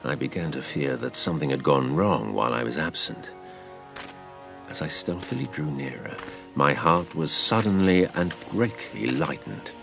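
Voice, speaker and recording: A man's voice: rumbling low, lively intonation, moderate pace, vocal fry, a bit bright, faint music behind, exaggerated voice. A man playing a grown adventurer recounting his boyhood exploits, in theatrical, literary scripted narration. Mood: suspenseful, hushed wonder. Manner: theatrical storytelling.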